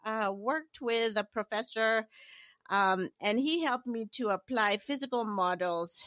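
A middle-aged woman from Nigeria, a company founder and guest, answering interview questions in a measured way with frequent fillers. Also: a little high, fairly bright tone, no room sound.

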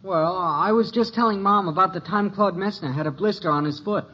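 Excuse-making teenage son, high-pitched, husky, rambling, 1950s radio sitcom, muffled old recording. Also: male voice, fairly quick, pushed and bright, full character voice. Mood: earnest rambling. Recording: dull vintage radio broadcast.

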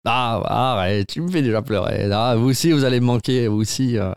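A man's voice: mid-range voice, fairly quick, clean tone, dry recording, clean studio sound, close to an everyday voice. A man improvising a retiring company boss of about sixty, touched and hesitant, who stammers and repeats his words in a comedy sketch. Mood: touched, modest.

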